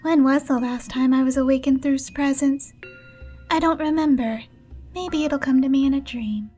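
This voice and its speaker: A drowsy, faraway little goddess wrapped in bandages drifts through her words in a high yet mellow, darkened tone, as a woman voices her. She sounds vague and half-asleep, as if barely present. Faint music plays underneath this audio drama. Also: steady tone, normal speed, natural voice. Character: eerie sleepy bandaged girl goddess. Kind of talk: fan audio drama acting.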